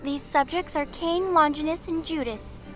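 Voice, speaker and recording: A woman's voice: high voice, moderate pace, a bit bright, faint music behind. A woman playing a sweet, dutiful young female archangel in an audio drama, speaking earnestly and rather formally. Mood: official, earnest. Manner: earnest, formal, rattles off explanations.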